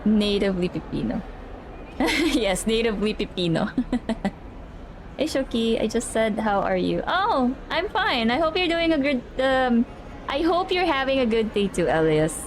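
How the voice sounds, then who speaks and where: A woman's voice: a little high, very animated, normal speed. Rambling young woman streamer, noisy background. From Philippines. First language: Tagalog. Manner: rambling, restarts words, repeats "I, I". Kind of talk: casual live-stream chat with viewers.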